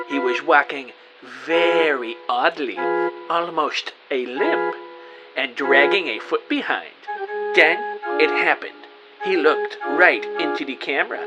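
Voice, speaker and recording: A man playing a self-important, excitable private investigator, narrating his case theatrically in an audio drama. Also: on the high side, highs cut off. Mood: intent, dramatic. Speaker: narrator and lead actor. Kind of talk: first-person detective audio drama narration.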